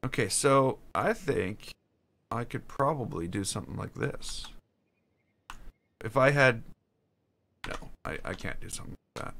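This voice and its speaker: A man streaming a game, chatting casually and haltingly, answering chat while he builds. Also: medium pitch, sing-song, gravelly, a bit bright. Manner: rambling, halting, answers chat between tasks.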